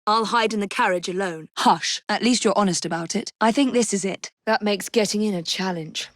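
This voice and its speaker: A woman with a British accent voicing a poised, determined, dry-witted young female assassin in a video game, with crisp, formal delivery. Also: mid-range voice, brisk, dry recording, lightly acted. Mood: businesslike, resolute. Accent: British English. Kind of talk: scripted video game voice lines.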